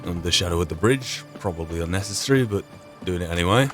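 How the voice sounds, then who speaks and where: A man with a fairly low voice hosts a game playthrough. He comments in a wry, understated way and slips into role-play narration, with faint music behind him. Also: animated, fast talker. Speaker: gaming channel host.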